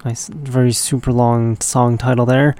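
A man hosting a punk rock radio show in casual, laid-back, rambling talk, his voice raspy and congested from a cold. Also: mid-range voice, level tone, unhurried, darkish tone.

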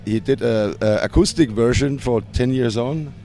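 A man with a German accent, a punk band singer, answering interview questions hesitantly with frequent restarts and soft laughs. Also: medium pitch, moderate pace.

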